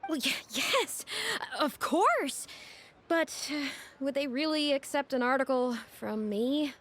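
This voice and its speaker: A woman's voice: high voice, animated, slow, fairly bright tone, a little hiss or hum, full character voice. A woman playing an outspoken, earnest young woman in a video game, candid and forthright. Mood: hesitant, eager. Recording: background music under dialogue.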